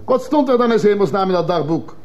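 An actor playing a scornful, rhetorical defence lawyer pleading dismissively in a radio courtroom drama. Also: male voice, high-pitched, lively intonation, quick pace, a little mellow. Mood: scornful, mocking. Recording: faintly noisy old radio recording.

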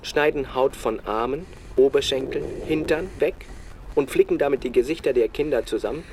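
An actor playing a sober reporter who narrates a radio feature in terse, deliberate sentences. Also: male voice, mid-range voice, background noise, lightly acted. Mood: matter-of-fact. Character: sober male reporter recounting a bleak field search.

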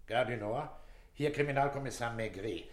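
A man playing a calm, probing police inspector in a radio drama, questioning in a terse, businesslike way. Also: medium pitch, normal speed, slight background noise, lightly acted. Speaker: actor in a detective radio play. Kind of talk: German radio drama acting.

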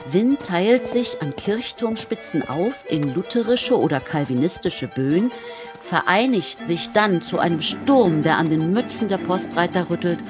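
A woman narrating a historical radio play in a measured, composed, literary manner. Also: lowish voice, animated, music in the background.

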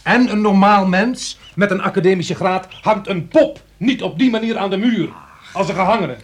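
An actor in a Dutch radio play playing an agitated, indignant, sour friend who insists and repeats himself. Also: male voice, high voice, moderate pace, lightly acted.

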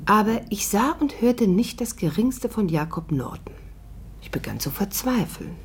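A woman's voice: medium pitch, expressive, moderate pace, a little hiss or hum, natural voice. An actress playing a dignified older woman who narrates her own adventure in a composed storytelling manner for a 1950s radio play. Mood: composed, intrigued.

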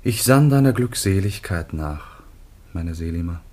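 An actor playing an earnest, devout and troubled grown son in a biblical radio drama, with measured, declamatory delivery. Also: male voice, low-pitched, animated, darkish tone. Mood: hesitant, earnest. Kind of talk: classic German radio drama acting.

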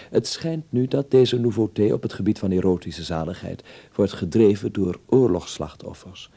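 An actor playing a candid, ironic Dutchman in Berlin in a radio drama, with a low, husky, creaky voice and a Dutch accent. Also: dark tone. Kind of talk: radio drama narration and dialogue.

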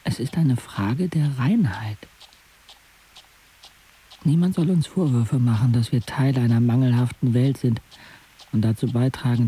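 A man's voice: medium pitch, dark tone, breathy edge. An actor playing a brooding, disillusioned young man who narrates his life in an introspective, sardonic way for a radio drama. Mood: bitter, resigned. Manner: introspective, sardonic, literary phrasing.

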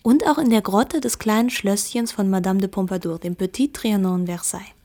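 A woman's voice: medium pitch, a little fast, noisy background, close to an everyday voice. An actress playing a candid young woman who confides secrets about her friend in intimate literary radio drama dialogue. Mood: confiding, candid.

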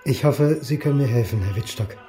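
An actor playing a weary, reserved historian turned reluctant detective, terse and dry, in a naturalistic radio play. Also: male voice, medium pitch, brisk, dark tone, soft background music, lightly acted. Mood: probing.

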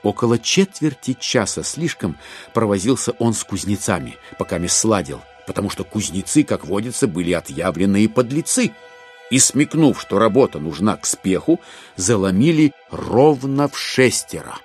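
A male narrator with a fairly low voice reads literary prose. His delivery is theatrical and very varied in melody, with soft music underneath. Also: big pitch swings, moderate pace. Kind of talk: expressive literary narration of a classic story.

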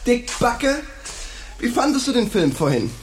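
An actor in a radio play as a crude, sneering, slangy teenage schoolboy. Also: male voice, very high voice, very animated, a little slow, a little hiss or hum, heavily acted. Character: crude, sneering teenage boy, bully's football buddy. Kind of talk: German radio play acting.

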